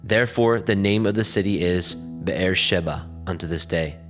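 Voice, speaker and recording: A man narrating scripture in a solemn, formal and measured reading style. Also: sits low, a bit bright. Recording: music under the voice.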